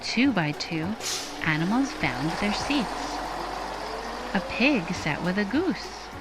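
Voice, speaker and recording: Female children's storyteller: very unhurried, lively intonation, bright tone, dramatic phrasing, soft music behind. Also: medium pitch, very noisy. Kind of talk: expressive children's storybook read-aloud.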